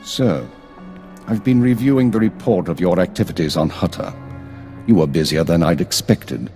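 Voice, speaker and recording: Spymaster: deep, weary, pragmatic, formal authority, game cutscene with soft music underneath. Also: male voice, expressive, moderate pace. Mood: stern, weary.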